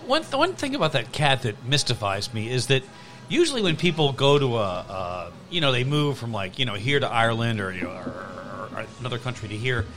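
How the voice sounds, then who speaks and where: A man's voice: mid-range voice, very animated, a little fast, a bit bright, faint music behind. A man in a casual chat, rambling with many hesitations as he tells a story.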